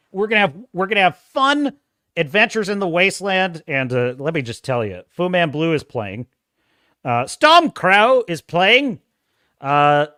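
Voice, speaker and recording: A man's voice: fairly high pitch, pushed and bright, dry recording, clean studio sound. A man hosting a casual livestream, chatting and reading viewer comments, with a self-described whiny, nerdy voice, rambling and laughing often. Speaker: host.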